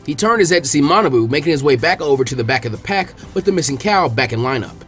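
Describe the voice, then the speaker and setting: A man reading fanfiction aloud in an expressive storybook narration. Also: a little high, quick pace, soft background music. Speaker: fanfiction reader.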